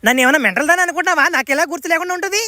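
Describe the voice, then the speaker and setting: A woman voicing a domineering, sharp-tongued old village woman in a comic cartoon, with a rural Telugu dialect and a coarse, mocking manner. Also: extremely high pitch, expressive, racing, dry recording, heavily acted. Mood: scolding, suspicious.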